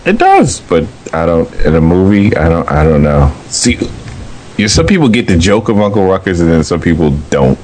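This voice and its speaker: A man's voice: sits low, wildly swooping pitch, moderate pace, a little mellow. A man in his late thirties chatting on a podcast, laid-back with dry humor. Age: 30s. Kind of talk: casual chat. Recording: noisy home recording.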